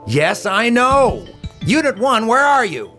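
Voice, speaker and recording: An actor playing a ruthless, commanding government agency villain in a video game cutscene. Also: male voice, extremely high pitch, sing-song, takes it slowly, over music, full character voice. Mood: urgent, commanding. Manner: commanding, threatening.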